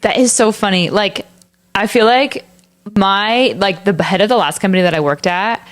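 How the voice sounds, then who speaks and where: A woman's voice: mid-range voice, moderate pace. A young woman co-hosting a podcast in casual chat, talking loosely with lots of "like" and restarted sentences. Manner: filler-heavy, frequent "like", restarts mid-sentence. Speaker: podcast co-host.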